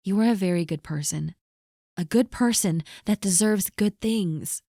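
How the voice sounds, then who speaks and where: A woman performing a scripted audio roleplay, gently and earnestly reassuring a friend who is spoken to directly. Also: mid-range voice, a little slow, no echo, studio quality.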